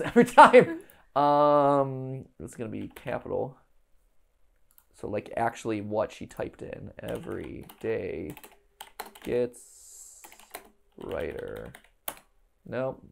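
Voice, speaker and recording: A man's voice: mid-range voice, creaky, slight background noise. A man in his thirties hosting a casual livestream, reading aloud and muttering half-finished thoughts as he works through a puzzle. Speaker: livestream host. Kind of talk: casual live puzzle-solving commentary, thinking aloud. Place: indoor room, livestream set.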